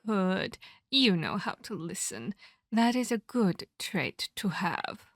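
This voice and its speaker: A woman voicing the centuries-old ghost of a murdered girl in a horror ASMR roleplay, eerie, menacing and wistful, speaking deliberately with breathy, whispery delivery. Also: mid-range voice, very animated, fairly bright tone, no echo, clean studio sound, heavily acted. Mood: commanding, menacing. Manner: slow, deliberate, theatrical storytelling.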